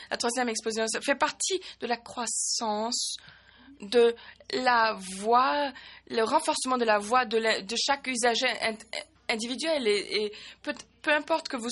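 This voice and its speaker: A woman with a fairly high voice works as a simultaneous interpreter at a meeting. She talks in uneven bursts, often breaking off mid-word to restart, and fills the gaps with short hesitations. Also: fairly bright tone. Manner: halting, frequent restarts and fillers. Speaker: conference interpreter.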